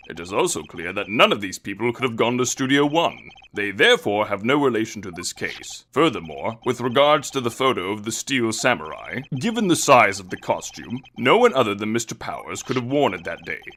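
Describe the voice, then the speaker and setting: A man playing an elderly, slightly befuddled judge in a video game fandub, measured, formal and authoritative. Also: fairly low pitch, fairly bright tone, a little hiss or hum. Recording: music bed behind the voice.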